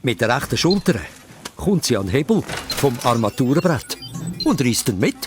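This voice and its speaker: A man's voice: medium pitch, very animated, moderate pace, over music, natural voice. A man with a Swiss accent narrating a children's radio play as a dramatic storyteller. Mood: suspenseful, animated. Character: lively storyteller of a children's adventure.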